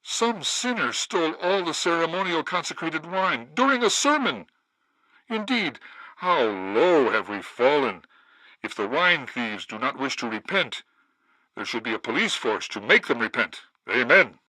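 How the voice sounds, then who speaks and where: A sanctimonious priest, somewhat high and bright in voice. He preaches with dramatic swoops and indignation, like a sermon on a game's radio show. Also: sing-song, measured pace, no room sound, full character voice, rough recording. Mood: indignant, preachy. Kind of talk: sermonizing game radio guest.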